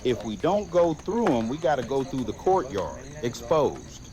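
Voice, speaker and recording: A man's voice: medium pitch, big pitch swings, unhurried, crowd noise. A man playing a grim, resolute fighter in an audio drama, wary and blunt. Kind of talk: fan audio drama acting.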